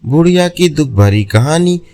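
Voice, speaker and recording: A man's voice: on the high side, expressive, a little slow, dark tone. A man narrating a scripted folk tale as a storyteller.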